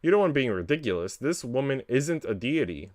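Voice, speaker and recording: A man's voice: medium pitch, big pitch swings, fairly quick, a little mellow, no room sound, clean studio sound, voice pushed up, close to an everyday voice. A man reading aloud the part of a sulky, defiant young girl in a visual novel.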